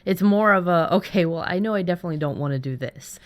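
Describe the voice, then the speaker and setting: A woman's voice: lowish voice, quick pace. A woman co-hosting a casual chat, thinking aloud in a loose, rambling way. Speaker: podcast co-host.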